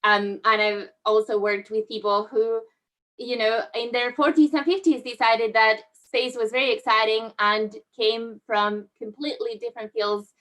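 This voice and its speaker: A middle-aged woman from southern Spain giving a friendly, measured career talk over slides in an online session, with frequent fillers. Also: a little high, fairly even intonation, fairly bright tone. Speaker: guest speaker. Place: online video call.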